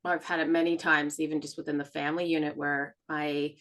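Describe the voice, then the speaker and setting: A woman's voice: deep voice, brisk. A woman in casual, confessional conversation as a podcast co-host, talkative and candid, often acting out dialogue. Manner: talkative, animated retelling with acted-out dialogue; candid, swears freely.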